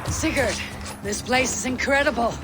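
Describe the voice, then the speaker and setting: A woman's voice: fairly high pitch, moderate pace, lightly acted. A woman playing an earnest, questioning Viking warrior in a video game. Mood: awed. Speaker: game character. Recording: game audio with loud music.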